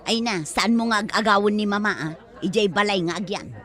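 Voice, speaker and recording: A woman's voice: medium pitch, fairly quick, a bit bright, natural voice. A woman acting a chatty grown daughter in a family comedy audio drama. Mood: bickering. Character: chatty adult daughter of a retiring teacher.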